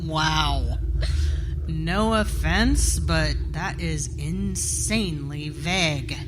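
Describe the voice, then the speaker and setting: A woman's voice: low voice, drawn-out words. An actress playing an abrasive, defensive, skeptical woman in a live audio drama, blunt, scornful and sarcastic. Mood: blunt, dismissive. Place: theater stage. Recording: noisy live theater stage recording.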